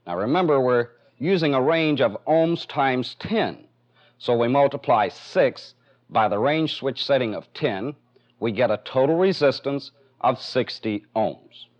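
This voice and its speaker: American guy teaching step by step like an old 70s training film, mid pitch, kinda muffled lo-fi sound. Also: expressive, a little slow. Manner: methodical, plainly explanatory. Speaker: instructor in a military technical training film. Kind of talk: instructional demonstration lecture, step by step. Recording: dull, band-limited 1970s film soundtrack.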